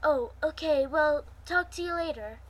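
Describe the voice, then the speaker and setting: A woman's voice: sits high, a little slow, pitched above the performer's own voice. A woman voicing a friendly, helpful female friend on a phone call in a story, casual and concerned.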